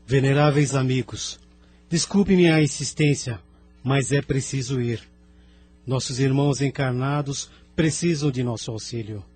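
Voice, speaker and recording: Polite Brazilian spirit rescuer, acted by a man, mid-pitched. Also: measured pace, a little mellow, slightly rough, no echo. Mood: polite, urgent. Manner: polite, formal. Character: courteous, dutiful male spirit rescuer. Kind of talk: Brazilian audio drama acting. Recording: music bed behind the voice.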